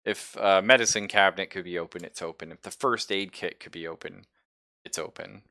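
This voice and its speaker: Guy running a tabletop rpg, low crisp forward voice, dry close mic, playful and chatty. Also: a little fast, bright, forceful tone, dry recording. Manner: conversational, playful, swears casually. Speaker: game master. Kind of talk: improvised narration of a tabletop roleplaying game.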